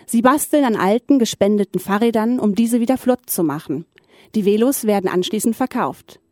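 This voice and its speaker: A woman reporter reads a radio news feature at a medium pitch. Her delivery is clear and even. The recording is dry and close. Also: normal speed, no echo. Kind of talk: scripted news narration.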